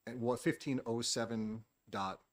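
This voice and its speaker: A man's voice: mid-range voice, fairly even intonation, measured pace. A man, a respiratory therapist, giving public testimony at a hearing in an earnest, questioning, slightly rambling way. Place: legislative committee hearing room.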